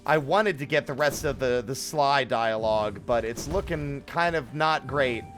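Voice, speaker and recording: A man's voice: mid-range voice, a little slow, a bit bright, soft background music. A man streaming a game live, commenting casually in a rambling, self-questioning way with some swearing.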